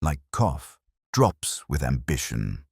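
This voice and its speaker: A man's voice: rumbling low, very animated, slow, vocal fry, darkish tone, slightly rough, dry recording, clean studio sound, full character voice. A man voicing a dutiful police sergeant in an audiobook mystery, reporting plainly and deferentially with a mildly wry touch.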